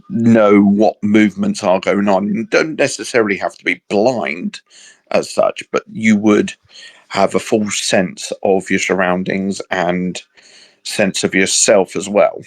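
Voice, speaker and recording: A British man chatting casually with a co-host, rambling and hesitant, laughing often. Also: fairly low pitch. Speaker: podcast co-host. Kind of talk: casual chat.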